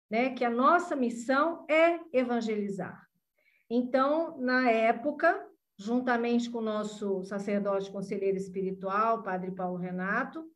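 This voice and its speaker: A woman in her sixties answering earnestly as a podcast guest. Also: fairly high pitch. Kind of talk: podcast interview.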